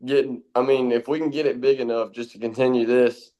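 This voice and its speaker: A man's voice: medium pitch, steady tone, fairly quick, dark tone, lo-fi sound. A young man of eighteen speaking casually as an interviewer and co-host.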